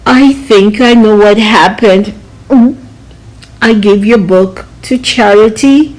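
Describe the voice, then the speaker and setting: A woman voicing a helpful maid in a children's storybook, reasoning things out in a gentle, measured way. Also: medium pitch, close to an everyday voice, poor audio. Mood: confessing.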